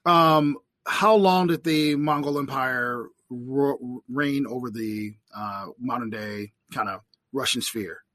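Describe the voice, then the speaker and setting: Host asking quick clarifying questions. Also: male voice, mid-range voice, a bit bright, no echo. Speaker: podcast host. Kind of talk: casual interview questions.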